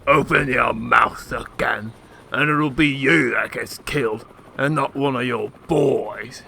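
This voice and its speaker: An actor playing a cocky, threatening gangland enforcer in a radio drama, with a taunting manner. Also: male voice, mid-range voice, takes it slowly, gravelly, background noise. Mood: menacing, commanding.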